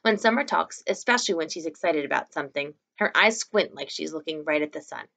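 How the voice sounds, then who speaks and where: A woman's voice: low-pitched, expressive, a little fast, creaky, fairly bright tone. A young woman reading a children's novel aloud expressively, like a teacher to her students, in a small room at home. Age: young adult.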